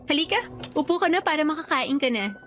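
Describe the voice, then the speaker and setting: An actress playing a young mother in a radio drama, placating and measured but quietly stubborn. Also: high voice, lively intonation, over music. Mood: polite, placating. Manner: pleading, measured, quietly defiant. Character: young mother, brother's returned girlfriend, placating yet stubborn. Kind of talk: Tagalog radio drama acting.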